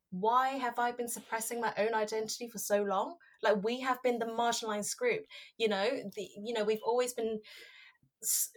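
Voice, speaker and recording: Young woman from Hong Kong, Cantonese native, thoughtful guest with frequent fillers and restarts, medium pitch. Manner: reflective, many fillers and restarts. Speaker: podcast guest, researcher. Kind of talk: candid conversational interview.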